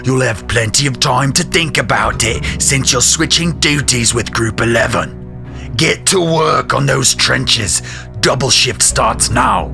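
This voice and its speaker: A man's voice: medium pitch, lively intonation, unhurried, creaky, music in the background, voice pushed up. A man voicing an angry, coarse guard lieutenant who barks commands in irritation. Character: angry foul-mouthed male guard lieutenant barking orders.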